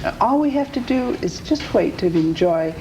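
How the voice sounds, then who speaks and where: A woman's voice: medium pitch, expressive, normal speed, warm tone. A woman hosting a show, chatting casually at a table near a performance stage and answering her guest with encouraging interjections. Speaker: talk show host. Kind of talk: casual on-location chat. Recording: noisy venue recording.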